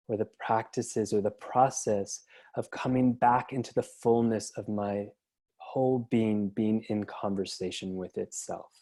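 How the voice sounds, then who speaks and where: A man with a mellow, fairly low voice moderates a panel over a video call. He talks thoughtfully and feels his way through his ideas, often restarting a phrase. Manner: thoughtful, searching, many restarts. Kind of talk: reflective panel discussion. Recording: online video call audio.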